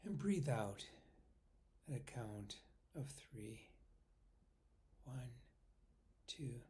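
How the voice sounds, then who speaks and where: Slow-paced man guiding a meditation. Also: mid-range voice, animated. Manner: unhurried, pausing between short phrases, often trailing off softly. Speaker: meditation guide.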